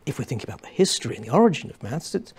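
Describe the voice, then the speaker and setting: A young man on a studio panel, explaining at length in a measured way with many restarts. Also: medium pitch, very animated, darkish tone, slightly rough. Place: small studio set with armchairs.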